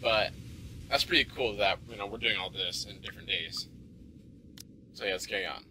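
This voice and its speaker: A man's voice: on the low side, normal speed, background noise. A man doing informal gaming commentary and reading visual novel narration aloud, stumbling now and then, over game music. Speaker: let's play host.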